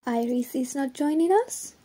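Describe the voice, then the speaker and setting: A woman playing a cheerful, caring young woman in an audio drama, with a soft, husky voice. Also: high voice, moderate pace. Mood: earnest. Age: young adult.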